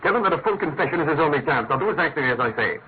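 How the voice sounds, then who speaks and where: An actor playing a shrewd, imperious master detective in a classic radio drama, incisive and urgent. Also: male voice, fairly high pitch, lively intonation, very fast, husky. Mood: commanding. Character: brisk, commanding, shrewd male master detective. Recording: tinny, narrow-band old radio, faint noise.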